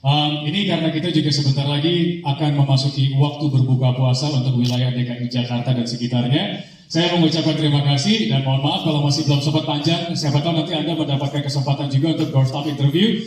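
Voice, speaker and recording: A man moderating a press conference, brisk and upbeat, mixing Indonesian with English phrases. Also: fairly high pitch, fairly even intonation, slight background noise. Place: event hall. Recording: echoey hall recording from a distance.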